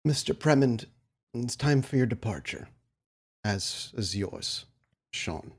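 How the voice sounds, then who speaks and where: A man's voice: mid-range voice, animated, takes it slowly, husky, exaggerated voice. A man voicing an old, stooped, loyal manservant with a formal, deferential and understated manner in a tabletop roleplaying game. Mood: polite, subdued.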